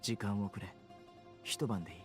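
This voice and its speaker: An actor playing a stern, resolute young man in a Japanese anime game, speaking in a measured, matter-of-fact way over music. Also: fairly low pitch, fairly even intonation, close to an everyday voice.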